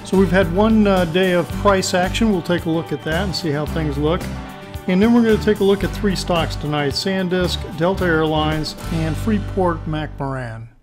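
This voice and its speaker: A man with a hoarse voice giving informal stock market commentary as host, thinking aloud in a halting, conversational way. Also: high-pitched, over music. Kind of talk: informal technical analysis commentary.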